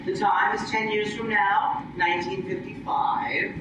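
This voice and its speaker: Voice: medium pitch, little pitch movement, unhurried, highs cut off, noisy background. An announcer reading a scripted introduction in formal, theatrical 1940s radio style. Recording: echoey hall from far off. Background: music.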